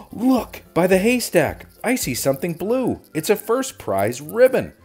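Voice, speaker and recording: A man's voice: sits high, very animated, unhurried, a little mellow, breathy edge, soft background music, pitched above the performer's own voice, full character voice. A man voicing an eager, careful little bear cub detective from a children's storybook, speaking earnestly. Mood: excited, earnest.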